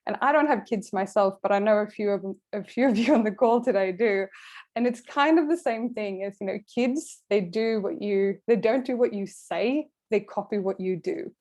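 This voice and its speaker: A middle-aged career coach from Sweden speaks, warm and direct as she leads an online masterclass. Her voice sits at an ordinary middle pitch for a woman, recorded on a computer mic over a video call. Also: moderate pace.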